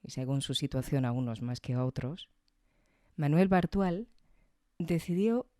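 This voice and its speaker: Mellow, low-voiced woman podcaster. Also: dry recording, studio quality. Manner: reflective, tag questions. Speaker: podcast host. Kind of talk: scripted commentary, conversational.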